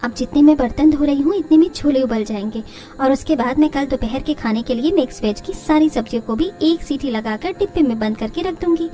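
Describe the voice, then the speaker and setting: A woman's voice: high-pitched, brisk, mellow, close to an everyday voice. A woman voicing a clever, polite young daughter-in-law in a family story, confident and a little pleased with herself.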